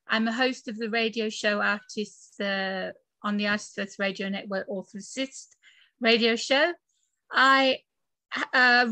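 Bright-voiced British woman in her forties. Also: a little high, no echo, poor audio. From United Kingdom. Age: 40s. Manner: chatty, laughs often, frequent fillers. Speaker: guest author and podcaster. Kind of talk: casual interview chat. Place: bedroom home office.

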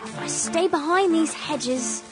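A woman's voice: extremely high pitch, a little slow, loud music behind the voice. An actress playing a spirited, proper young Victorian lady heroine in a radio melodrama, with indignant urgency. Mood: urgent. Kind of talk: period radio drama acting.

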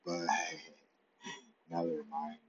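A man's voice: low voice, flat delivery, very slow, muffled recording, noisy background. A man narrating a playful, rambling story in the first person from his pet's point of view. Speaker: pet owner narrating.

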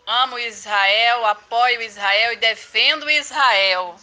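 A woman's voice: fairly high pitch, slow, bright, forceful tone, soft background music. A woman from Brazil records an earnest, emphatic listener greeting for a radio program. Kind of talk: listener shout-out message.

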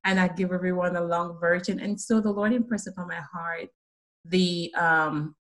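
A middle-aged woman from Jamaica with a Jamaican accent, telling her life story earnestly as an interview guest, with soft laughs and many ums. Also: lowish voice, steady tone, normal speed. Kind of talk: personal testimony in an interview.

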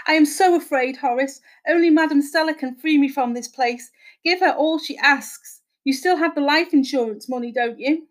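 Woman putting on a high, wailing ghost wife. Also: fairly even intonation, a little fast, few pauses, lightly acted. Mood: afraid, pleading. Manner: wailing, imploring. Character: tearful, pleading ghost of a dead wife.

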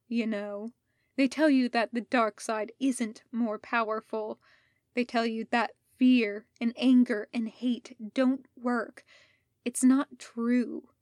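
A woman voicing a scheming male Sith Lord villain who speaks low, calm and persuasive. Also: no room sound, clean studio sound.

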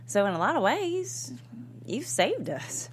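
An actress playing a plainspoken, patient small-town churchgoing woman, dry and gently teasing, in a comedy script read. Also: high voice, very animated, slow, exaggerated voice. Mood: matter-of-fact. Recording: clear background hum and noise.

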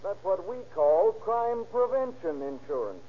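Brisk, husky radio lawman. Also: sits high, sing-song, soft background music, full character voice. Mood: self-assured. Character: brisk, self-assured district attorney's investigator. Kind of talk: 1930s radio acting. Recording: muffled 1930s radio recording.

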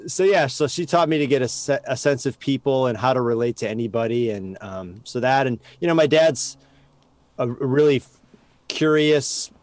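A man with a Midwestern American accent, talking in a relaxed, reflective way as an interview guest, filling pauses with "you know". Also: mid-range voice, no room sound. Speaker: podcast co-host, interview guest. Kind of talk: casual interview chat.

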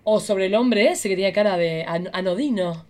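A woman's voice: mid-range voice, moderate pace, a little mellow. A woman with a Rioplatense Argentine accent, chatting casually as part of a panel.

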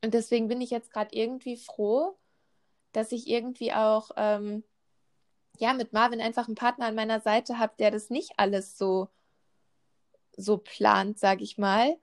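A woman's voice: on the high side, little pitch movement, moderate pace. A pregnant woman in her twenties in a casual chat, talking with lots of fillers and restarts. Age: 20s. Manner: chatty, many fillers and restarts.

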